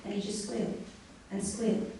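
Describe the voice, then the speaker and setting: A woman performing a scripted comic story live on stage, with a deliberate mock bedtime-story delivery. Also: medium pitch, level tone, a crowd in the background. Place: live comedy venue, indoors. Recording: very echoey room, distant mic, faint noise.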